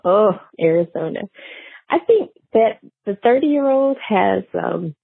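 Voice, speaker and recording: A woman interviewed as an author, talking casually and thoughtfully with halting phrases and emphatic key words, on narrow muffled audio. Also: medium pitch, animated, gravelly, slightly rough, no echo. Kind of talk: casual conversational interview, explaining characters.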